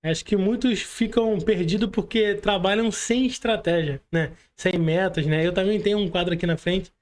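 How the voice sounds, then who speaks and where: A man's voice: high-pitched, steady tone, normal speed, a little mellow. A man with a Brazilian Portuguese accent hosting a casual podcast interview, speaking informally with slang and frequent tag questions seeking agreement. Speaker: podcast host.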